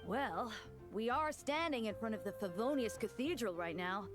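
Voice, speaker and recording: A woman plays a courteous young church deacon from a game, in a fairly high, expressive voice. The delivery is polite and measured over prominent music. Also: close to an everyday voice. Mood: calm, courteous. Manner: polite, measured, smooth-tongued. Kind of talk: video game voice acting.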